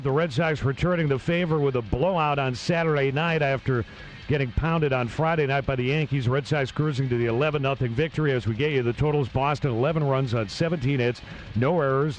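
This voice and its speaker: A man of middle pitch walks through a game recap in a steady, matter-of-fact way. There is faint noise under his voice. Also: poor audio. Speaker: recap host.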